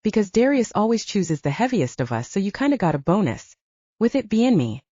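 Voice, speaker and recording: Woman acting cocky, mellow-toned male soldier. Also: mid-range voice, big pitch swings, fairly quick, husky, no echo, dull audio. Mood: defensive. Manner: bantering, coaxing, casual. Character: cocky, teasing male soldier, playful and pragmatic. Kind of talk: dramatized audiobook dialogue.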